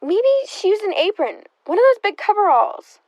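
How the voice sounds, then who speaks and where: A woman's voice: very high-pitched, lively intonation, moderate pace, a little mellow, dry recording, poor audio. A woman acting a nosy, sardonic interrogator in a radio drama, asking pointed questions in a wry, insinuating way. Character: nosy, sardonic, probing interrogator of a murder suspect's sister.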